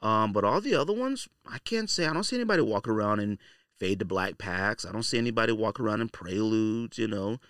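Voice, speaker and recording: A man's voice: fairly low pitch, animated, brisk, fairly bright tone, no room sound, studio quality. A man hosting a casual, off-the-cuff podcast monologue, talking in a slangy, blunt, conversational way. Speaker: sneaker podcast host.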